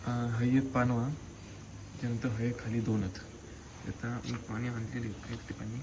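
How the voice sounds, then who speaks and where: A man's voice: mid-range voice, little pitch movement, moderate pace. A man from the Konkan with a Malvani accent, talking casually as he explains a hands-on restoration step by step on a handheld phone. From Konkan, western India. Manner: informal, explaining step by step while working. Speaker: motorcycle vlogger. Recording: noisy outdoor handheld phone recording.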